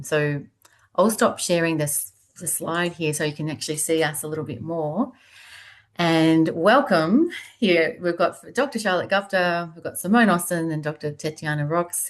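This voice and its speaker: An Australian woman hosting an online webinar in a chatty, loose way, often restarting her sentences, speaking over a video call. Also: low-pitched. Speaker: webinar host.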